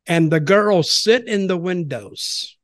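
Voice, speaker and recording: A man's voice: high voice, animated, slow, fairly bright tone, no echo, clean studio sound. A man in his seventies hosting a podcast, telling stories in a casual chat from a desk microphone. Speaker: podcast host. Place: small home office, indoors. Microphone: desk microphone with headset.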